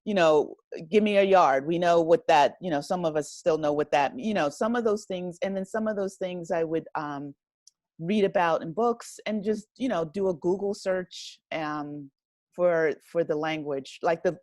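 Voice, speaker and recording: American woman chatting, low-pitched, close dry sound. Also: normal speed, a little mellow, dry recording. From United States, a rural town. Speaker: interview guest, writer and director of an audio drama. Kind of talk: casual conversational interview.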